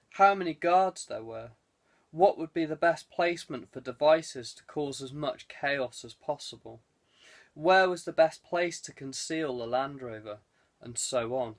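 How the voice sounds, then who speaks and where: A man reading scripted fiction as an audiobook narrator. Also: a little high. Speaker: audiobook reader.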